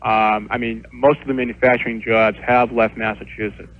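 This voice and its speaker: A young man calling a radio show for advice, speaking casually in a halting, hesitant way. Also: mid-range voice, little pitch movement, no room sound. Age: young adult. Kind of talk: casual phone consultation. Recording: dull lo-fi phone line on radio.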